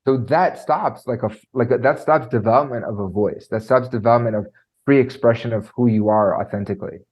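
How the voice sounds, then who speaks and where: A man coaching on presentation skills, talking in an animated way and filling his speech with "like" and "right?"; he has a trained high singing voice. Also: a little fast, slightly rough, muffled recording. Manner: animated, lots of fillers like "like" and "right?". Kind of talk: conversational podcast interview.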